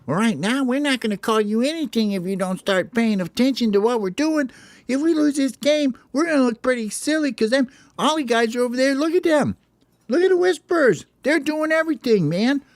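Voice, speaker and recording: A man doing squeaky impatient sparrow ballplayers. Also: animated, fairly quick, no echo. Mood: frustrated. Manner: impatient, nagging, shouting across the court. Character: squeaky frustrated male sparrow basketball players.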